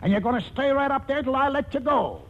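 A man's voice: very high-pitched, big pitch swings, fast. An actor playing a stubborn, crafty old grandfather with folksy speech in a 1940s radio comedy. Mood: gleeful defiance. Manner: folksy, colloquial. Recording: hissy, muffled old radio broadcast in a hall.

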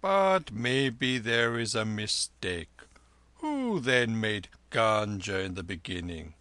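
A man reading aloud, voicing a gentle, weary old holy man who speaks slowly and deliberately. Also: lowish voice, expressive, clean tone, dry recording, heavily acted. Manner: slow, weary, measured.

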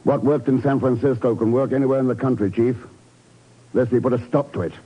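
An actor playing a cool, determined secret agent in a 1950s radio drama, with a terse, dry, commanding delivery. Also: male voice, medium pitch, fairly even intonation, quick pace, slightly rough, lightly acted. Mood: resolute. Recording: muffled 1950s radio recording.

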